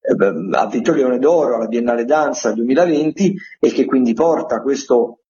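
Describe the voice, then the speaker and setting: A man hosting a radio show, reading out theatre listings in a semi-improvised, digressive way, often halting and correcting himself with asides. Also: medium pitch, expressive, mellow, muffled recording.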